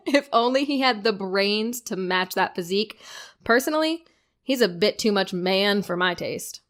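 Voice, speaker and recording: Actress for stressed young bride; mid-range voice, wry banter, bossy affection, audio drama. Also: female voice, medium pitch, lively intonation, a little slow, a bit bright. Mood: affectionate, frazzled.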